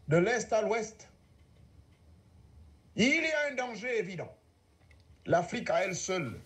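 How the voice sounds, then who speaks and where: A man whose first language is Ngomienne, giving a sweeping, oratorical speech at a conference. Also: high voice. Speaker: conference speaker.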